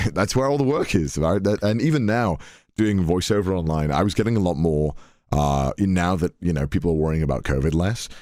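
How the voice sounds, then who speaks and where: A man's voice: sits low, a little fast, no room sound, studio quality. A British man in his twenties chatting casually on a podcast, rambling and often restarting his sentences. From United Kingdom. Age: 20s. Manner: rambling, self-correcting, animated. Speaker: podcast guest.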